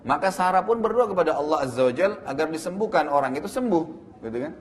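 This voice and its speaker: A man's voice: fairly high pitch, expressive, quick pace, a little hiss or hum. A man giving an Islamic history lecture, explaining the story as a teacher with frequent confirming tags. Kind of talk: religious lecture retelling prophetic history.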